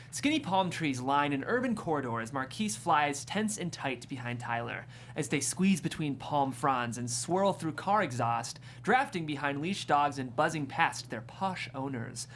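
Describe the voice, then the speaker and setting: Stage directions reader: mid-pitched, descriptive, amused, scripted comedy narration, slight room noise. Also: lively intonation, moderate pace, few pauses, a bit bright. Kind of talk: scripted screenplay narration at a table read.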